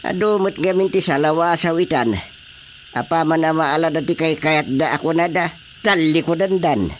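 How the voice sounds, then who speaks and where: A man's voice: a little high, normal speed, a little hiss or hum, lightly acted. A man playing an old, easygoing village husband and father who gossips with his wife in a radio drama. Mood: reflective.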